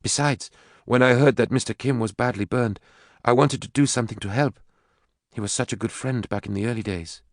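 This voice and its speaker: A man reads as a youthful daughter in a storybook novel, his pitch lifted. She is earnest, eager and insistent, in a dry, close recording. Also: brisk, no echo, lightly acted. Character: earnest, persistent young woman, a caring daughter.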